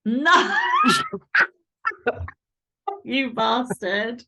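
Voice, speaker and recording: A woman's voice: fairly high pitch, huge pitch swings, extremely slow, creaky, pushed and bright, slight background noise. A woman chatting casually as an interview guest, candid and blunt, swearing freely. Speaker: podcast guest and fantasy romance author.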